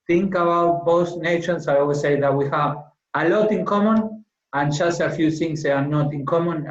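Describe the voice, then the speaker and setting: A man with an Argentine Spanish accent, hosting a conversational interview in a friendly, appreciative manner. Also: a little high. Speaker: interviewer, football coach. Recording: muffled, echoey room sound.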